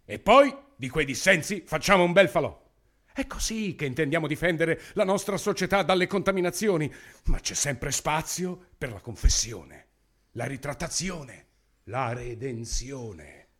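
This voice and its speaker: An actor playing a pompous, menacing authoritarian government minister in a satirical radio play, declaiming grandly with smug brutality. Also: male voice, a little high, expressive, a little slow, a bit bright.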